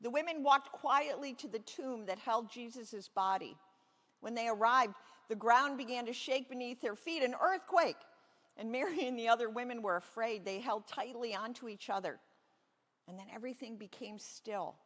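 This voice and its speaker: Higher-voiced woman pastor in an echoey hall. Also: normal speed, fairly bright tone. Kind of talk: children's sermon, reading a Bible story aloud. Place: large reverberant church space. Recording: echoey hall with long reverb.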